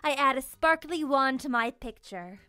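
Young female children's storyteller, high voice, expressive and playful delivery, light music underneath. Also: moderate pace, no echo. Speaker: storyteller and children's channel host. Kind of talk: animated children's book read-aloud. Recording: music behind the voice.

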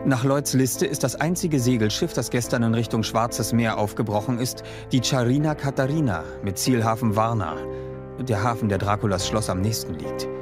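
Man acting formal diarist, medium pitch, measured, sober, gothic audio drama narration, very loud music. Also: natural voice. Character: sober gentleman reading reports and diary entries.

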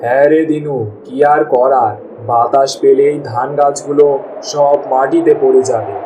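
A man's voice: medium pitch, fairly even intonation, normal speed, mellow, natural voice. A man playing a poor, worried village farmer and tender father in a cartoon, with plain, sighing rural speech. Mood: worried, resigned. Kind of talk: cartoon voice acting. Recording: distant mic, clear rain noise.

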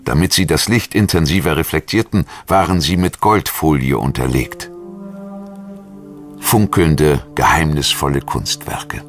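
Really deep male voice narrating a documentary, measured and articulate, music playing behind him.

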